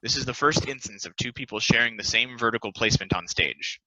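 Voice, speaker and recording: A man narrates stage directions from a script. His voice sits at a middle pitch and is very bright and sharp, recorded close and dry. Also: a little fast, dry recording, low-quality recording.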